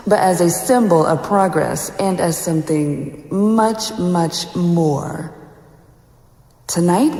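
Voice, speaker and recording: A woman playing a smooth, menacing crime boss making a grand podium speech in an audio drama, with hall reverb. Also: fairly low pitch, dark tone. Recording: echoey hall reverb, faint music.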